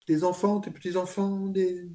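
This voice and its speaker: A man's voice: high-pitched, little pitch movement, normal speed, mellow. Friendly curious interviewer man. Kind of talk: radio interview.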